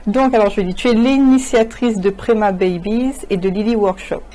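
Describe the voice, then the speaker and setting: A woman's voice: mid-range voice, moderate pace, warm tone, rough recording. A woman hosting a talk show, friendly and welcoming, asking questions and giving short affirming murmurs. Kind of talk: hosted interview.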